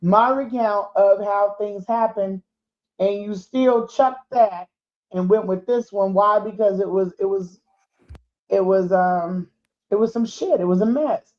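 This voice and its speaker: A woman's voice: mid-range voice, a little slow. Indignant woman in a lo-fi clip. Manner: indignant, defending her account. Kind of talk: recorded commentary clip. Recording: dull, lo-fi clip played on stream.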